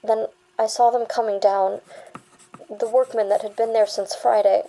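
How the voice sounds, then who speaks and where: Woman acting as shaken, high-voiced young female witness. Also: flat delivery, warm tone, natural voice, poor audio. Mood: shaken, halting. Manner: halting, insistent, stammering. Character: shaken, defensive young woman bank worker giving witness testimony. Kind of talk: dramatic audio fiction acting.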